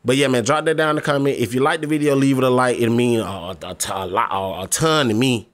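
A man's voice: mid-range voice, quick pace. A man in his twenties talking casually in a gaming vlog, laid-back and slangy, often pleading and repeating himself. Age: 20s. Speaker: gaming YouTuber.

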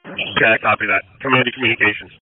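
Man, fire commander, lowish voice, procedural orders, dull radio with some noise. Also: rapid-fire, no echo. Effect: emergency radio. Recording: muffled radio with steady noise.

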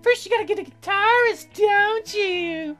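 Man doing a very high, shy young woman. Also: a little slow, bright, forceful tone, soft background music, exaggerated voice. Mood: flustered. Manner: falsetto, hesitant. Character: shy young woman musician, flustered and earnest.

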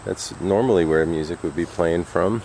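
A middle-aged man with a gravelly voice, talking casually and a little uneasily to viewers in a rambling handheld vlog. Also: low-pitched, moderate pace. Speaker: urban exploration vlogger. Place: abandoned derelict house and surrounding woods. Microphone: handheld camera at arm's length. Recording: faint outdoor noise with chirping insects.

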